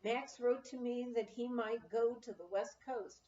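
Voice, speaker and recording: A woman's voice: a little high, steady tone, measured pace, mellow. A woman reading a scripted first-person memoir aloud as an audiobook narrator. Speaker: audiobook reader. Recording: echoey room, slightly dull audio.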